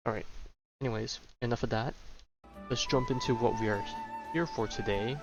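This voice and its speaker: A man's voice: mid-range voice, moderate pace, creaky. A man giving casual livestream commentary as he plays a game. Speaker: game streamer. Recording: slightly dull, lo-fi mic. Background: game music.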